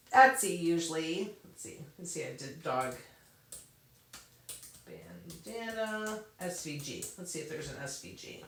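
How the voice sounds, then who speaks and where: Slow, chatty woman teaching crafts in a roomy echo. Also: on the low side, a bit bright. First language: English. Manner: chatty, laughs often, thinks aloud while working on the computer. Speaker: crafting teacher answering viewer questions. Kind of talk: casual live Q&A with on-screen demonstration. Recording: roomy echo, a bit far from the mic.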